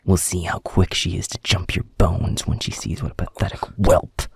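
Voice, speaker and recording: A man playing a bitter, menacing werewolf pack leader in an audio roleplay, profane and commanding in a breathy growl. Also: deep voice, animated, slow, no room sound, whispered, clean studio sound, heavily acted. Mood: menacing fury. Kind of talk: audio roleplay drama acting.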